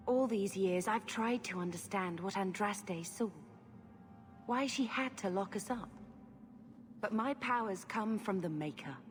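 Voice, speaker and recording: An actress playing a devout, gentle young female mage in a video game, speaking in an earnest, heartfelt way. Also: mid-range voice, natural voice. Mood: heartfelt, wistful. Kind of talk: video game cutscene acting. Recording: echoey hall, music behind.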